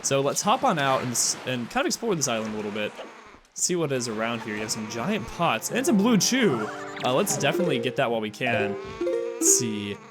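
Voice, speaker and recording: A tired man with a slightly raspy voice and occasional cracks, chatting casually as he comments on a video game he plays. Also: medium pitch, very animated. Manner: rambling, chatty, quick asides and chuckles. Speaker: let's play host. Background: game music.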